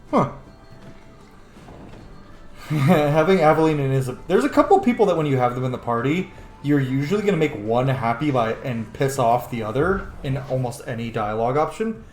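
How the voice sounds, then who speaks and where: Male streamer, casual and sweary, animated pitch, echoey room with faint noise. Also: moderate pace, pauses often, soft background music. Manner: sweary, chatty. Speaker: game streamer. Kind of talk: casual gameplay commentary.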